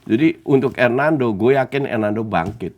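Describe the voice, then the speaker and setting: A man's voice: medium pitch, normal speed, darkish tone. Emphatic male football pundit. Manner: emphatic, peppers speech with English phrases; argumentative, rhetorical questions. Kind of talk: casual sports talk show chat.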